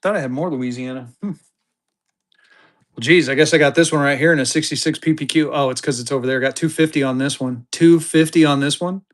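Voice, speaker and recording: A tired man running a live banknote auction on a stream, hyping bids in quick bursts and talking about himself in the third person. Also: fairly high pitch.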